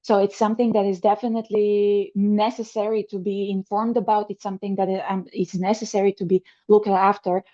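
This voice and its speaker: A woman's voice: medium pitch, flat delivery, fairly quick, hardly any pauses, warm tone, highs cut off. A woman from Bulgaria hosting a conversational interview, speaking earnestly with frequent fillers and restarts. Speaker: podcast host.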